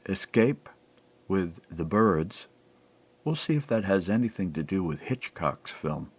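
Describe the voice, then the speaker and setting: A man hosting an old-time radio program, announcing in a deliberate way and drawing out some words. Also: deep voice, big pitch swings, slightly rough, dull audio.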